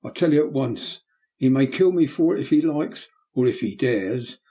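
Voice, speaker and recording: A man doing a shrill, frantic young boy. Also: animated, a little fast, warm tone, natural voice. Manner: shrill, screaming. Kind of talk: audiobook character voice.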